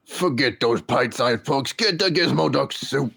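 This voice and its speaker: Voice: medium pitch, unhurried, vocal fry, a bit bright, slightly rough, full character voice. An amateur performer voicing a scheming, swaggering cartoon villain in a theatrical live comic dub. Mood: bossy, greedy.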